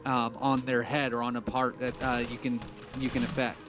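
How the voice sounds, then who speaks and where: A man co-hosting a gaming stream, explaining game mechanics in a relaxed, rambling way with many fillers. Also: mid-range voice, normal speed, music in the background. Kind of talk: casual gaming chat.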